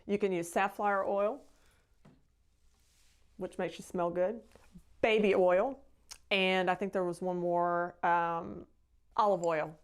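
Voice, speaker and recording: A woman's voice: lowish voice, steady tone, takes it slowly, pauses often, smooth. A middle-aged woman painter hosting a casual live stream, talking in a chatty, rambling way. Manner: chatty, rambling, with laughs and fillers. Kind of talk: casual painting demo chat.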